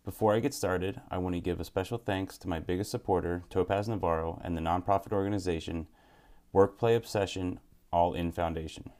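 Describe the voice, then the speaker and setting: A man's voice: low-pitched, steady tone, a little fast, darkish tone. A man in his thirties hosting a podcast, who asks questions in an earnest, curious way. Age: 30s. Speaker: podcast host. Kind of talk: casual interview podcast. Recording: roomy echo.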